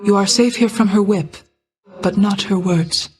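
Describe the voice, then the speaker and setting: An actress playing a stern yet caring motherly goddess of night in a video game, speaking in formal, measured, solemn lines. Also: mid-range voice, a little mellow, close to an everyday voice. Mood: stern, commanding. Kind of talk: scripted video game character dialogue, formal archaic speech.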